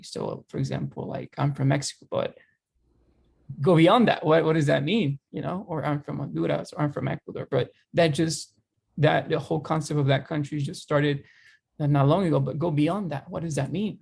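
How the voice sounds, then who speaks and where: A young man co-hosting a podcast discussion, speaking haltingly and searching for words, with many fillers. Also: fairly high pitch, vocal fry, darkish tone, slightly rough. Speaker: podcast co-host and teacher. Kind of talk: casual discussion.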